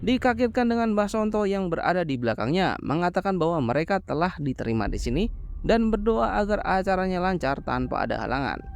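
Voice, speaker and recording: High-pitched, lively male recap narrator. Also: a little fast, vocal fry, a little hiss or hum. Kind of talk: scripted storytelling narration of a horror film plot.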